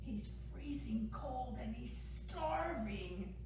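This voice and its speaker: Woman voicing an excitable, anxious little storybook mouse, roomy echo. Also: on the high side, takes it slowly, far from the mic, noisy background, pitched above the performer's own voice. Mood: pleading. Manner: gasping, exclaiming. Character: small female mouse, grumbling but anxious and caring.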